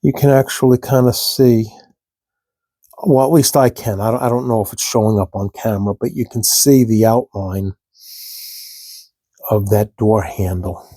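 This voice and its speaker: A man with a froggy, allergy-rough throat, slowly talking viewers through a model-building step in a casual, halting way. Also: on the low side, steady tone, mellow, no echo. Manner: slow, halting, talks to viewers while working. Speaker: scale model hobbyist demonstrating. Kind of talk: casual hands-on tutorial.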